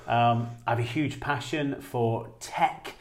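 A man's voice: medium pitch, fairly even intonation, slow. A middle-aged man co-hosting a church podcast in a chatty, self-deprecating way, with easy laughter. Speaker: podcast co-host, former church leader. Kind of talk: casual chat.

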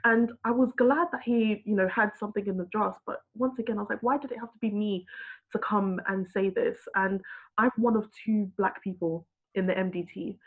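A woman's voice: mid-range voice, steady tone, normal speed. A woman who works in healthcare, earnestly telling a frustrated personal story in a discussion. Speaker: healthcare worker. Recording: husky voice over a tinny phone-like line.

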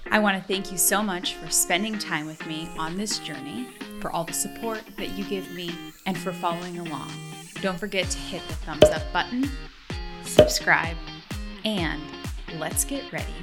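A woman's voice: on the low side, normal speed. Woman hosting over prominent music. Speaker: interviewer and online education channel host. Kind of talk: scripted intro and interview hosting.

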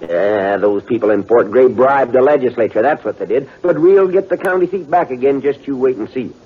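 Husky, crotchety frontier doctor. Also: male voice, mid-range voice, expressive, brisk. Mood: folksy, proud. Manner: crotchety, folksy, grumbling, chuckling. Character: cantankerous, garrulous frontier-town doctor and mayor. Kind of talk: old-time radio western acting. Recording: tinny vintage radio recording.

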